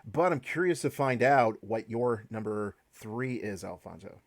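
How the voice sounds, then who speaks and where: A man's voice: fairly low pitch, expressive, measured pace. A man hosting a casual podcast chat, leading the talk with hedges and restarts. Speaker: podcast host.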